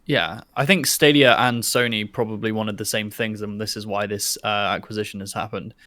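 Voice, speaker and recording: A man's voice: lowish voice, fairly even intonation, moderate pace, bright, forceful tone, no echo. A man talking casually as a panelist in a conversation about video games. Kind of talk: casual chat.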